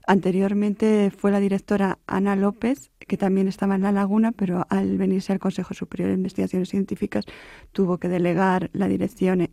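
A woman professor, explaining her research in a radio interview and often pausing to search for words. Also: mid-range voice, creaky, dark tone, no echo, studio quality. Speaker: anthropology professor, interview guest.

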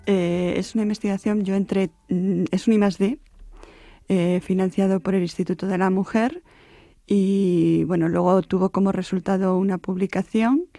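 A woman professor, explaining her research in a radio interview and often pausing to search for words. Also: medium pitch, fairly even intonation, vocal fry, mellow, no echo, clean studio sound. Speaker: anthropology professor, interview guest.

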